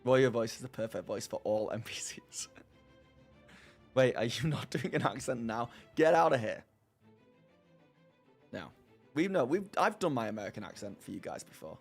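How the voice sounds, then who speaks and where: A man's voice: medium pitch, animated, moderate pace, long pauses, faint music behind. A man casually chatting on his live stream and answering viewers, rambling and self-deprecating, laughing often. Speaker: streamer hosting a live chat.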